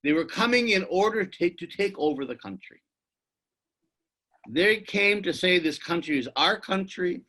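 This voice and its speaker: An older man speaking as an interview guest over a video call, in a lively, swooping delivery. His voice is bright and forceful, lecturing with emphasis and frequent restarts. He keeps coming back to "you see" as he builds his case. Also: high-pitched, normal speed. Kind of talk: earnest explanatory conversation.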